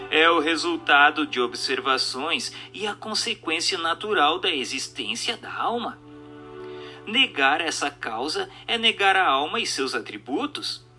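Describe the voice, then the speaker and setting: A man's voice: mid-range voice, sharp tone. A man with a Brazilian accent reads a scripted doctrinal text as a narrator, in a formal, declamatory and rhetorical manner. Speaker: narrator of a spiritist book reading. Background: music.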